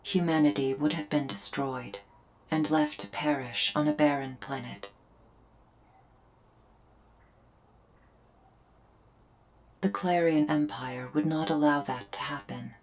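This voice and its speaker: A woman voicing a solemn female alien invader in a scripted ASMR roleplay, speaking in measured, formal, deliberate phrases with pauses. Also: sits low, expressive. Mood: solemn, explanatory. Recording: music behind the voice. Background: music.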